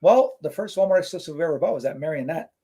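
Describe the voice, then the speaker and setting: A man's voice: fairly high pitch, fast talker, breathy edge. A man co-hosting a casual live stream, reading out chat comments and rambling with lots of fillers. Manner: relaxed, rambling, many fillers.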